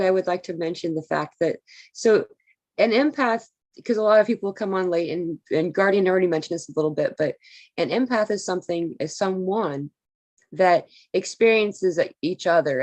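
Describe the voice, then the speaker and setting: Woman, low voice, earnest spiritual speaker, long flowing explanations, dry close sound. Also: darkish tone, no echo. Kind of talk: casual spiritual talk.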